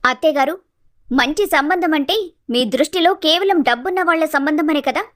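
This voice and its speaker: A woman playing a composed, outspoken young daughter-in-law in an animated family story, speaking firmly and politely with reproach. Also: sits high, moderate pace. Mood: firm, reproachful.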